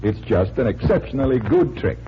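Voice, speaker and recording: An actor playing a genial magician detective in a 1940s radio show, patiently explaining tricks. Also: male voice, medium pitch, big pitch swings, fairly quick, close to an everyday voice. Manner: patient, instructive. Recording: muffled, hissy 1940s radio recording.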